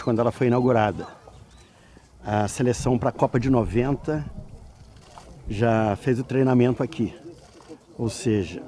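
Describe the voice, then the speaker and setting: A man's voice: medium pitch, mellow. A man recounting his long involvement with the team in a matter-of-fact documentary interview. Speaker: interviewee, longtime observer of the team.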